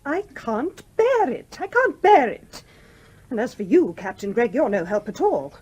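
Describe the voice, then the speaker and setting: High-voiced, spirited young widow. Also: female voice, big pitch swings, measured pace, a little mellow, breathy edge, exaggerated voice. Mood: exasperated. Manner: earnest, defiant, emphatic. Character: spirited, stubbornly independent young widowed mother. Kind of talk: theatrical radio play acting.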